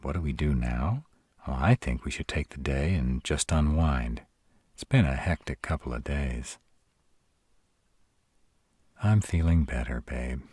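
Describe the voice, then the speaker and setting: A man playing a protective, caring were-dragon with dry humor in an intimate one-sided roleplay audio drama, speaking in a casual, measured way. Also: animated, darkish tone, dry recording, heavily acted. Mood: relaxed, caring. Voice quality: very deep, husky. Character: gruff, protective male were-dragon, caring, dryly sardonic.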